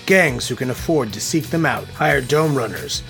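A man's voice: mid-range voice, expressive, moderate pace, vocal fry, a bit bright. A man reading a scripted show intro narration. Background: guitar music.

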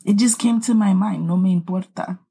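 A woman's voice: mid-range voice, fairly quick, mellow, slightly rough. A young woman co-hosting a podcast in casual chat, playful and teasing, laughing often. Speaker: podcast co-host.

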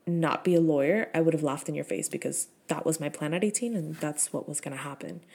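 A woman's voice: low-pitched, a little mellow. Chatty, swearing woman in her thirties. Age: 30s. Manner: chatty, frank and profane, with many fillers. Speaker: podcast host. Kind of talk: casual solo podcast chat.

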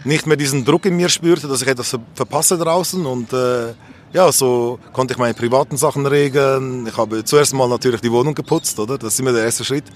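A man's voice: mid-range voice, fairly even intonation, fairly quick. A man with a Swiss accent reflecting casually on his own life in a candid, colloquial, rambling way. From Switzerland. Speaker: street interviewee. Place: outdoors on a street. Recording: faint outdoor background noise.

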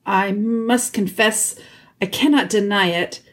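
A woman's voice: mid-range voice, slow pace, lightly acted. A woman voicing a learned old man, formal and sorrowful, in a storybook reading. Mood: disappointed.

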